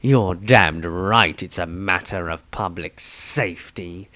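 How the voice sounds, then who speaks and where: A man voicing a stern, protective male fighter, menacing and deliberate, in a fantasy storybook. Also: sits low, sharp tone, pitched below the performer's own voice, full character voice. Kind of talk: storybook character acting.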